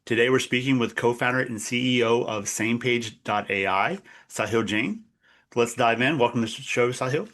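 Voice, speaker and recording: A man's voice: medium pitch, normal speed, fairly bright tone. A man hosting a podcast, giving brief, friendly welcomes. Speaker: podcast host. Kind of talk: podcast host intro.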